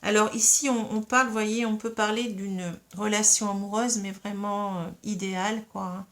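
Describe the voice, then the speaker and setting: A woman's voice: mid-range voice, steady tone, moderate pace. A woman who has a cold, reading tarot cards in a casual, hesitant way, full of fillers and tag questions. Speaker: tarot reader.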